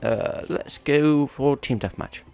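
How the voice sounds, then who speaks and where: A man's voice: mid-range voice, slow pace, gravelly, a little mellow, clear, resonant tone. A young man with a cold, chatting casually and swearing now and then while commentating his own gameplay. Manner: rambling, chatty, swears at opponents. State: congested with a cold. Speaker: gaming YouTuber.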